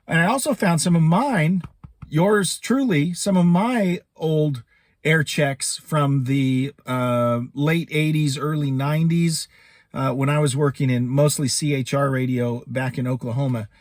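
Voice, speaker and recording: A man's voice: mid-range voice, no echo, clean studio sound. A middle-aged American man giving a casual, chatty vlog update while sitting in a parked truck. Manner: conversational, chatty. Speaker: YouTube host and voiceover podcaster.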